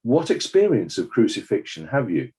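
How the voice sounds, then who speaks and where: Man playing a mellow, expressive court examiner. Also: lowish voice, moderate pace. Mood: formal, inquiring. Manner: polite, formal, direct questioning. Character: formal, probing examiner at a court inquest.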